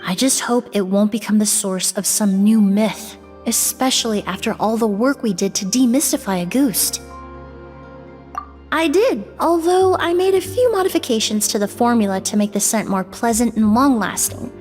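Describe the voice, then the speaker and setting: A woman voices a composed young perfumer and investigator from a fantasy game. Her slightly high voice stays calm and measured as she explains. Faint music plays underneath. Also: close to an everyday voice. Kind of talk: game character dialogue.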